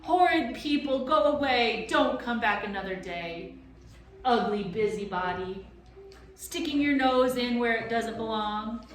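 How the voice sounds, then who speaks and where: A shrill, jeering swamp creature, put on by a woman reading aloud chants its singsong insults high and loud. The very echoey room rings around every taunt. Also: measured pace, a little hiss or hum, close to an everyday voice. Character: mob of shrieking green furry gaboons, mocking.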